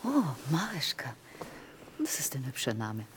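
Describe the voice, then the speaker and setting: A gentle motherly woman in a radio play speaks in a low, animated voice. She is kindly and hesitant, often murmuring, over clear background noise. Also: unhurried, heavily acted. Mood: gentle concern. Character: Elsa, gentle motherly deported woman, later aging survivor. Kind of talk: German radio play acting.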